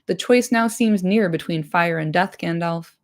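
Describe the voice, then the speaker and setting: Woman reading as a blunt warrior man, creaky. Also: lowish voice, measured pace. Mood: grim, practical. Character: bold, practical warrior man, urgent and blunt.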